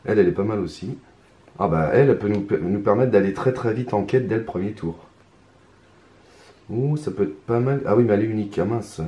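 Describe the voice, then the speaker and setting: A hoarse man talking his way through a solo card game in hesitant, mumbled commentary. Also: fairly low pitch, fairly quick, warm tone. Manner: hesitant, mumbling, many restarts, muttering to himself. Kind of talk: casual solo gameplay commentary. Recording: roomy echo, faint ambience.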